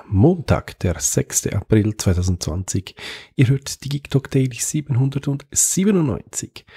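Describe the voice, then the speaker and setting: A man's voice: mid-range voice, creaky, mellow, breathy edge. A man hosting an informal solo tech podcast in a rambling, conversational way, with a nasal, stuffed-up voice from a blocked nose. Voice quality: nasal, congested. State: blocked nose from pollen allergy, lingering cough. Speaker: podcast host.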